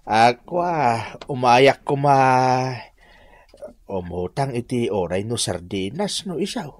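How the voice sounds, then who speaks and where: A man playing a hard-drinking, sheepish village man in a radio drama, with a Filipino accent and a slurred, halting delivery full of grunts. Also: medium pitch, lively intonation, no echo. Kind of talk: Ilocano radio drama acting.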